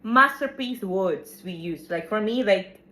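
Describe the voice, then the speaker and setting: A woman's voice: mid-range voice, lively intonation, unhurried, pushed and bright. A woman co-hosting a casual podcast chat, talkative and filling her talk with "like". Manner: chatty, says "like" often, laughs easily. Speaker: podcast co-host.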